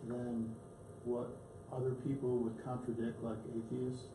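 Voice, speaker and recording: A man's voice: medium pitch, completely flat delivery, unhurried, warm tone. A man hesitantly asking his teacher a question in class. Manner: hesitant, groping for words. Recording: roomy echo, faint hiss.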